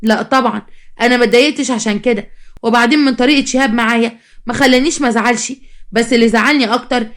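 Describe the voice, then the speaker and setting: A woman's voice: fairly high pitch, steady tone, racing, natural voice. A woman storyteller voicing a defiant, scheming young bride with an Egyptian accent, sassy and complaining. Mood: aggrieved. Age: young adult. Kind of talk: dramatized storytelling.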